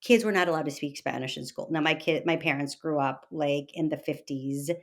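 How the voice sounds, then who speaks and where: Woman in her fifties, lowish voice, halting and emphatic. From Texas, United States. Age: early 50s. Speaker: podcast guest, author and meditation teacher. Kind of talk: conversational interview, personal storytelling.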